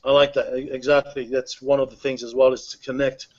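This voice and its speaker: A man hosting an online members' call, talking in a halting, filler-heavy, upbeat way. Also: mid-range voice, little pitch movement, lo-fi sound. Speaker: host of a members' webinar. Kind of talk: casual online webinar hosting.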